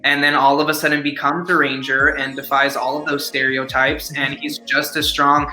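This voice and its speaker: Young guy talking eagerly on a call, says like a lot, super bright voice, roomy echo. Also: medium pitch, little pitch movement, moderate pace. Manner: eager, fills speech with "like". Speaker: guest actor. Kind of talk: casual interview chat. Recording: echoey room.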